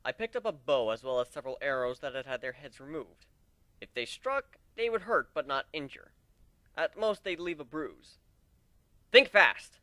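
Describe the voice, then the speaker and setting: Animated, bright-voiced man reading a story. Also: mid-range voice, moderate pace, no room sound. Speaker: story narrator. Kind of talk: dramatic first-person fan fiction reading.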